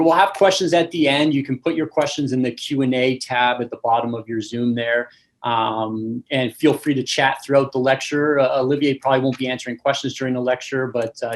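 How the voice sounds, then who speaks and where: A young adult man hosting a webinar over a video call, reading a formal speaker introduction and giving relaxed housekeeping notes. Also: mid-range voice, brisk. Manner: reads a formal introduction, then casual housekeeping with fillers. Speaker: webinar host, campus manager.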